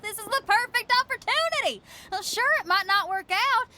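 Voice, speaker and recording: A woman's voice: very high voice, expressive, brisk, pushed and bright, slight background noise, heavily acted. A woman playing a bold, upbeat young rock musician in an anime game, spirited, breezy and confident. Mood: thrilled. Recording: background music.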